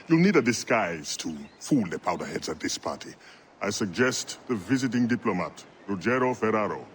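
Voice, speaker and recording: An actor playing a gruff, businesslike contact for assassins in a video game cutscene. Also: male voice, lowish voice, big pitch swings, normal speed, slight background noise. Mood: dry, conspiratorial.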